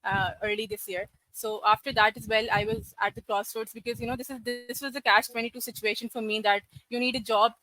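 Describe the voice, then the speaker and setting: A woman in her twenties from Karachi, a podcast guest telling her career story in a steady, articulate, matter-of-fact way. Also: on the high side, fairly quick, few pauses, soft background music, low-quality recording. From Karachi, Pakistan. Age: 20s. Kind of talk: podcast interview.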